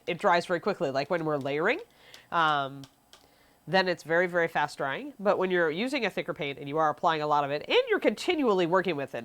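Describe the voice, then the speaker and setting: A woman's voice: fairly low pitch, fairly bright tone. A sniffly young woman, stuffy from allergies, giving a chatty live painting tutorial and answering chat questions. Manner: chatty, reads chat questions aloud, frequent ums. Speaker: miniature painting instructor.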